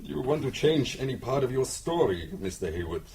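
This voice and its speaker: Sly, swooping police captain. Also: male voice, medium pitch, very animated, a little fast, darkish tone, slight background noise, poor audio. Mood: slyly probing. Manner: chuckling, courteous, probing. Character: genial but sly, suspicious male Viennese police captain. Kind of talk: audio drama acting.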